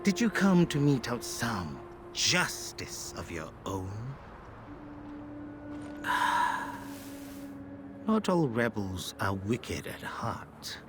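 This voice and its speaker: A man playing a thoughtful, wary counsellor in a video game cutscene, speaking in a measured, grave manner. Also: on the low side, big pitch swings, noisy background, exaggerated voice. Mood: cautioning, reflective. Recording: background music under the voice.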